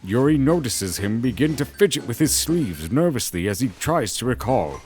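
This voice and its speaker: A man's voice: mid-range voice, big pitch swings, normal speed, darkish tone. A man narrating a scripted story as a dramatic narrator. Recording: music behind the voice. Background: clear background music.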